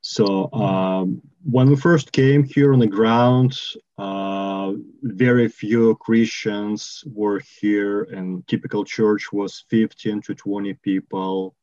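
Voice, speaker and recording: A man in his thirties with a Russian accent, a missionary answering interview questions on a video call, slowly and carefully with many pauses. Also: on the low side, steady tone, a little mellow, no echo. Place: home room with bookshelves, indoors. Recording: dull lo-fi call audio.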